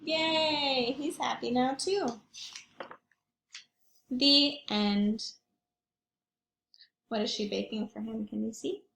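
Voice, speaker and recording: A woman's voice: high voice, takes it slowly, vocal fry, pauses often, a bit bright, reverberant. A woman reading picture books aloud to young children, expressively and with questions for her listeners. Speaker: children's read-aloud host, teacher or librarian.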